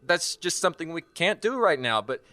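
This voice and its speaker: An actor playing an anxious, protective young husband in an audio horror drama, placating and exasperated. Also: male voice, fairly high pitch, sing-song, normal speed, bright, forceful tone, no echo. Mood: politely placating. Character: anxious, protective young husband soothing his panicking wife.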